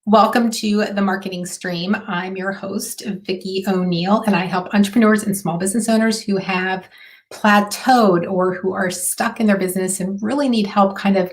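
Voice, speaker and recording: A woman hosting a live marketing stream, her voice hoarse and raspy, talking in a chatty way with many fillers. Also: lowish voice. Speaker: host.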